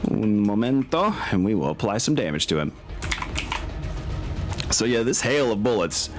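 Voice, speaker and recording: A man acting as game master, casually narrating and thinking aloud in a hesitant way, with music behind him. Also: low voice.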